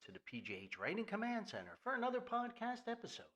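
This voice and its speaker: Animated older New man, bright voice. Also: very high-pitched, quick pace. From New Jersey, United States. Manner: folksy, jokey, rambling, theatrical emphasis. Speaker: podcast host. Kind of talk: informal solo podcast monologue with sponsor reads.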